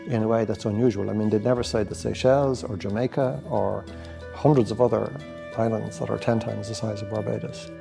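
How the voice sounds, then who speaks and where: Man, Irish accent, reflective interview talk, gravelly, mellow dark tone, faint music behind. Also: fairly low pitch, steady tone, a little fast. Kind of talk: documentary interview.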